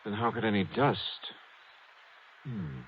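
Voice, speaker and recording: Hardboiled american insurance sleuth, old radio show, skeptical and clipped, muffled noisy recording. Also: male voice, fairly low pitch, sing-song, measured pace. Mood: probing. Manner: clipped, probing, wry. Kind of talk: 1950s radio detective acting and narration. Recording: dull vintage radio recording, faint noise.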